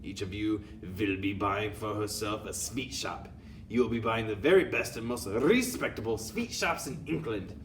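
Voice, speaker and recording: A man's voice: lowish voice, normal speed, recorded from a distance, a little hiss or hum, lightly acted. A man voicing a tyrannical witch queen, thundering and menacing with odd foreign phrasing. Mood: commanding.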